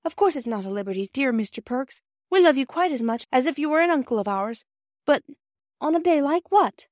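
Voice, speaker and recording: Storybook girl, acted by a woman reader: affectionate, excitable, raised pitch, emphatic and tender, very dry recording. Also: a little fast, no echo. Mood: earnest, affectionate. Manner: exclaiming, emotional, emphatic. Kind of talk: storybook character voice in audiobook reading.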